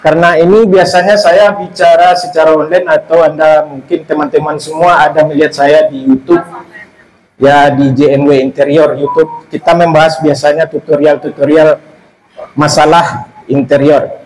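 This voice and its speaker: A man's voice: fairly high pitch, level tone, normal speed, darkish tone, rough recording. A man from West Sumatra giving a seminar talk to a live audience as guest speaker, in a loose, rambling way with many restarts. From Solok, West Sumatra, Indonesia.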